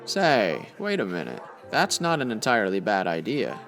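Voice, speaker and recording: An actor playing a skeptical, wisecracking crime photographer in an old-time radio style, dry and exasperated, with hardboiled slang. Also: male voice, fairly low pitch, wildly swooping pitch, normal speed, fairly bright tone, soft background music. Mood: scheming. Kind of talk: old-time radio detective drama acting.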